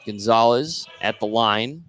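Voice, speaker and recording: A man's voice: lowish voice, measured pace, a bit bright. An American man doing live radio play-by-play commentary of a basketball game as it unfolds. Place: indoor basketball gym during a game.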